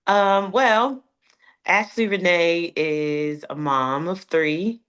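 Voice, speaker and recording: A woman's voice: fairly low pitch, extremely slow, a bit bright, clean tone. A woman in her thirties from Connecticut with an American accent, chatting casually and informally as an interview guest. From Connecticut, United States. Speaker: guest, gospel recording artist. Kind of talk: casual chat.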